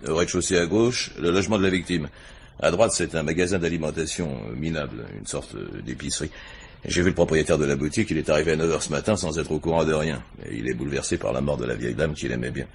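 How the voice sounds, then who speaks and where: A man's voice: rumbling low, fast, creaky, slight background noise. An actor playing a diligent, chatty police inspector in a French radio crime drama, reporting to his superior with informal hesitations and wry asides. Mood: hesitant, reporting.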